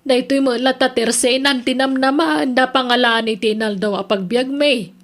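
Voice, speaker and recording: Chatty Ilocano village mother, slightly high voice, acted. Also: fairly even intonation, moderate pace, a bit bright. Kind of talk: Ilocano radio drama acting.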